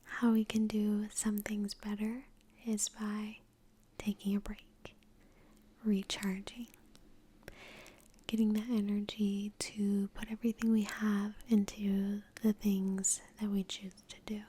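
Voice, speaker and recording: A woman whispering gently and unhurriedly in a breathy voice, close to the microphone, guiding an ASMR meditation with long pauses. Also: medium pitch, completely flat delivery, a little hiss or hum. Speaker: ASMR creator and meditation guide. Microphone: close microphone in front of the face.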